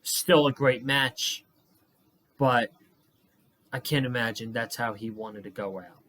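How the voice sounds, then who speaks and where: A young man with a hoarse, worn-out voice, reviewing wrestling on his own vlog. He talks at an unhurried, drawn-out pace, rambling and swearing freely, and sounds tired. His pitch sits in the middle for a man. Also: a bit bright. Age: young adult. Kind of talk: casual solo review vlog.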